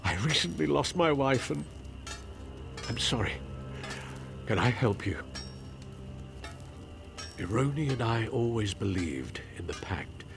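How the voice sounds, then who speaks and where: A man playing a gentle, grieving widower in a video game, tearful, halting and polite. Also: mid-range voice, very animated, creaky, music in the background, full character voice. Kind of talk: video game character acting.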